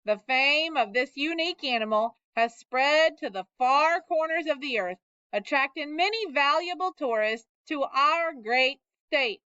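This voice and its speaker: A pompous loudspeaker announcer at a country fair, put on by a woman, booming and grand. The voice sits high, loud and slow, stretching every word. The recording is dull and lo-fi, with a dry sound. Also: animated, dry recording, heavily acted.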